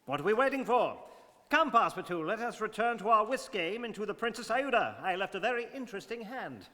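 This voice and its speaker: A man playing an imperturbable gentleman traveller in a radio drama, speaking in a clipped, formal, precise manner. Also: high-pitched, sing-song, a little fast, exaggerated voice. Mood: insistent, unruffled. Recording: reverberant hall-like studio.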